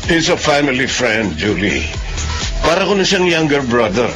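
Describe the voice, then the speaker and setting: A man's voice: medium pitch, very animated, moderate pace, music in the background, lightly acted. A man playing a confident, friendly boss with a matchmaking streak in a radio drama. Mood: jovial.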